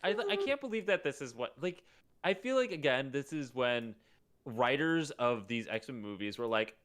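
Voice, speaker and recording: A man's voice: mid-range voice, expressive, moderate pace, fairly bright tone. A man hosting a casual group discussion, talking in an exasperated way and acting out mock quotes. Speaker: podcast host leading a table read.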